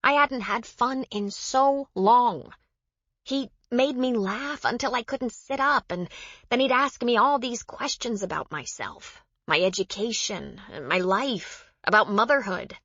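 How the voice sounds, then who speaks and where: A woman's voice: on the high side, measured pace, fairly bright tone, no room sound, dull audio, voice pushed up. A woman narrator voicing an embarrassed, bitter mother in an audiobook, confessional and self-reproachful. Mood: wistful. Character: embarrassed, bitter mother confiding about a con man.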